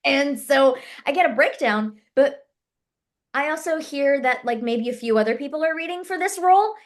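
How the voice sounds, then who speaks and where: A woman's voice: high voice, normal speed. A woman actress and martial artist, telling her story in a casual interview and acting out the dialogue she quotes. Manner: animated, acts out quoted dialogue in her stories.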